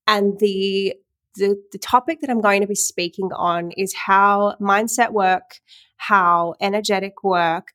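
A woman's voice: medium pitch, fairly bright tone, dry recording. A young woman, a women's empowerment coach, hosts her own podcast in a casual, earnest solo chat, sounding slightly nasal. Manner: chatty, earnest, loose with fillers and restarts. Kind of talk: casual solo podcast talk, streamed live.

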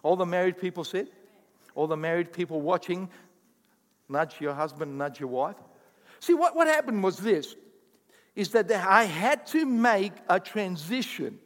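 High-voiced emphatic preacher man in a hall. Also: a bit bright. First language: not English. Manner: emphatic preaching with rising bursts and asides. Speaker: pastor. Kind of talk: sermon.

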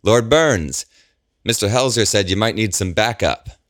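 A man voicing a dispassionate armed male officer who talks back flatly. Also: sits low, brisk, no room sound, studio quality, pitched above the performer's own voice. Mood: defiant. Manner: flat, defiant.

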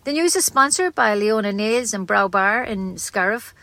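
A woman's voice: medium pitch, lively intonation, normal speed, fairly bright tone, no echo. An Irish woman reading a scripted local news bulletin as a community radio newsreader.

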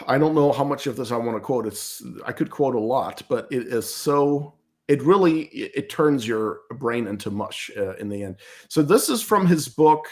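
A man's voice: mid-range voice, animated, moderate pace, a little mellow. Emphatic man. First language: German. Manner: emphatic, argumentative, often repeats words as he searches. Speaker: guest commentator. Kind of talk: interview commentary.

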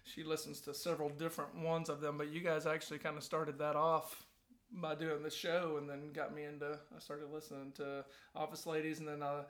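Male podcast guest, earnest and friendly, fairly high voice, casual chat. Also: little pitch movement, a little fast, fairly bright tone. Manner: earnest and friendly, with many fillers like "you know" and "I mean". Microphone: sharing one microphone with the host.

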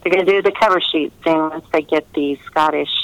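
A woman's voice: low voice, steady tone, fairly quick, no echo, low-quality recording. A woman novelist chatting casually in an interview, talking in a meandering way with trailing asides.

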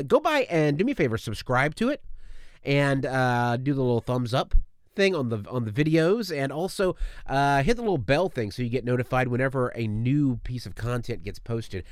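A man's voice: medium pitch, no echo, studio quality. A man hosting a podcast in a chatty, rambling, upbeat way, his voice a bit strained from allergies. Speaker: podcast host. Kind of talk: casual podcast monologue. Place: studio.

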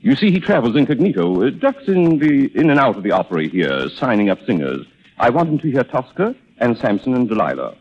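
An actor playing a suave, evasive philandering gentleman in a 1930s radio drama, smooth-talking, pompous and hesitant. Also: medium pitch, big pitch swings, a little fast. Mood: evasive, breezy. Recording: muffled old 1930s radio recording.

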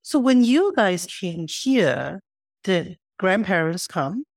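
A woman's voice: lowish voice, very animated, slow pace, no echo, studio quality. A woman hosting an intimate podcast interview, attentive and speaking in short questions and reactions. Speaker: podcast host.

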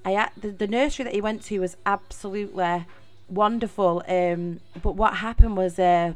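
A woman's voice: mid-range voice, steady tone, normal speed, faint music behind. A young woman with a Mancunian accent hosting her own podcast, talking in a chatty, rambling way with many fillers and restarts. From Manchester, England. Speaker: podcast host. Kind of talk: casual solo podcast monologue.

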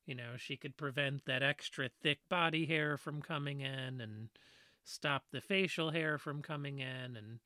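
Slow, bright-voiced woman with a deepish voice. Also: no room sound, clean studio sound. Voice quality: fairly deep voice she trained herself to talk around. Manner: earnest, explanatory, with frequent "you know" fillers. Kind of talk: explaining in casual discussion.

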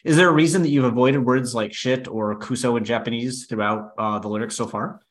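A man co-hosting a podcast, asking interview questions in a relaxed, conversational way with casual fillers. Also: mid-range voice, brisk. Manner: relaxed, conversational, uses fillers like "you know". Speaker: podcast co-host.

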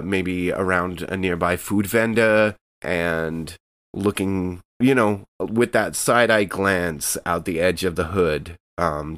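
Male tabletop gamer, deep pitch, casual and improvised, talks through his actions informally. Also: a bit bright, no echo. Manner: informal, thinking aloud. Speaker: player in a tabletop game. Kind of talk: casual improvised game talk.

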